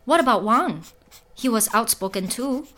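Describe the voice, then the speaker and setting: A woman playing an inquisitive android who poses as a portrait sitter and confronts the artist in an audio drama, in a measured manner. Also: a little high, animated, a bit bright. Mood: sympathetic, curious. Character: probing female android posing as portrait sitter.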